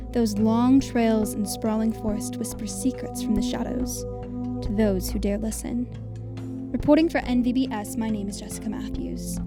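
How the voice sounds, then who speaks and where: A woman's voice: fairly high pitch, close to an everyday voice. A woman playing a composed, ominous investigative reporter, narrating scripted radio drama with an American accent. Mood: ominous, measured. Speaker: podcast host and narrator. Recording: music under the voice.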